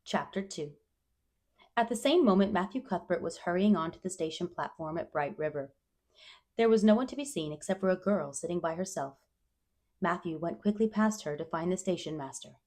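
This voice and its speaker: Expressive, lively woman storyteller. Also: lowish voice, fairly quick. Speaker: storyteller, reader. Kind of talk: children's storybook read-aloud, scripted narration.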